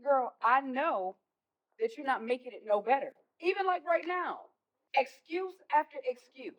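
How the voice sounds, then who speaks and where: A woman's voice: sits high, animated, normal speed, a bit bright, low-quality recording. A woman with an American accent playing a stern, exasperated mother of teenagers in a stage play, emphatic in her delivery. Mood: scolding, exasperated. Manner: scolding, emphatic.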